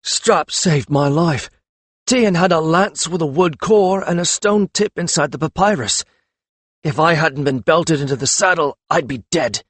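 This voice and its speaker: A man's voice: a little high, measured pace, fairly bright tone, no room sound, pitched above the performer's own voice. A man voicing a battered, bitter warrior in a fantasy audiobook, with slurred, muffled, growling speech. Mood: pained. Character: battered, bitter senior dragon-riding warrior, male.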